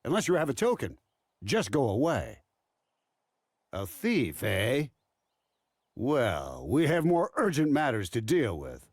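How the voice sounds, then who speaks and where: An actor playing a brusque, dismissive city gate guard in a game cutscene, curt and officious. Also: male voice, mid-range voice, wildly swooping pitch, takes it slowly, long pauses, dry recording, studio quality, exaggerated voice. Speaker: guard character. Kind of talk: video game cutscene acting.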